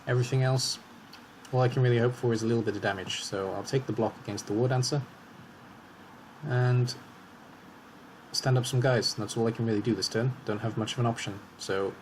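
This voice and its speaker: A man commentating his own match in a calm, analytical way as he talks through each move, slightly hoarse. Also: fairly low pitch, background noise. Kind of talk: casual game commentary.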